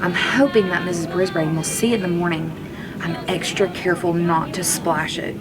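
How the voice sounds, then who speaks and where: A woman reading a children's storybook aloud like a teacher, in an expressive manner. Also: fairly low pitch, normal speed, background noise. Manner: expressive, acts out characters' lines.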